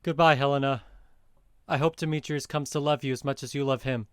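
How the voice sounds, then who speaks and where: A man's voice: mid-range voice, fairly even intonation, brisk, clear, resonant tone, no echo, clean studio sound, lightly acted. A man playing an ardent, reassuring young lover in an audio drama, earnest and theatrical in manner. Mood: friendly, confiding. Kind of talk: modernized Shakespeare audio drama acting.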